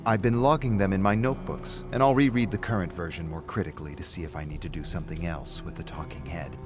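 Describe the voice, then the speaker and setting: A man's voice: sits low, fast, over music, voice pushed down, natural voice. A man voicing a polite, earnest woman storyteller who explains herself patiently. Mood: politely earnest.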